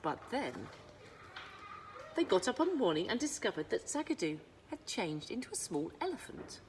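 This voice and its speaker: A woman narrating a children's storybook as a librarian would, with dramatic pauses and emphasis. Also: mid-range voice, very animated, darkish tone, a little hiss or hum. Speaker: school librarian reading aloud.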